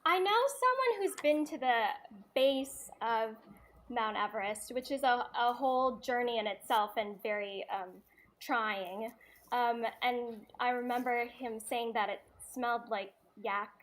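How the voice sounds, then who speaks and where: Woman writer chatting on a video call, a bit high, lots of ums. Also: unhurried, a bit bright. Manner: thoughtful, with frequent ums. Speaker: musical theatre writer. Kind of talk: casual video call chat.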